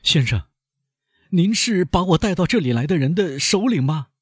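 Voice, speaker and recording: A man voicing a frantic aging male banker held captive, husky and shrill, pleading and desperate in a storybook reading. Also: expressive, normal speed, no echo, clean studio sound. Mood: anxious.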